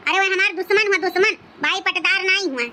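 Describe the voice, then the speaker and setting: A woman's voice: very high voice, quick pace, bright, forceful tone, slight background noise. A woman voicing village in-laws in an Indian family cartoon, with a childlike, altered voice and an Awadhi accent. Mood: scolding, bossy. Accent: Awadhi, northern India. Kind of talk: rural family cartoon dialogue.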